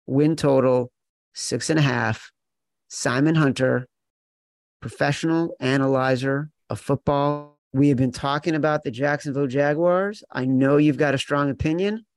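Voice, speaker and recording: A man's voice: medium pitch, moderate pace, vocal fry, no room sound. A man co-hosting a sports betting show, talking in an emphatic, playful way straight to his partner. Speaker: podcast co-host.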